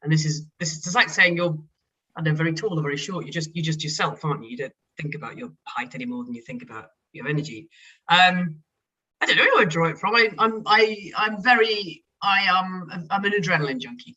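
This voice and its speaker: A woman, a company chief executive, answering interview questions with lively, effervescent energy, though she is a bit tired. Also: sits low, distant mic, muffled recording. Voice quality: lively, fast-talking, effervescent.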